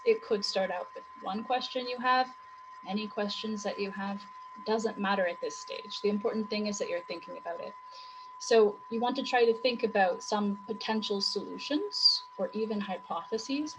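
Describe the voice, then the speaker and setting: A woman's voice: medium pitch, a little hiss or hum, rough recording. A woman writing tutor, losing her voice, explaining in long run-on sentences full of rhetorical questions. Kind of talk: conversational teaching talk.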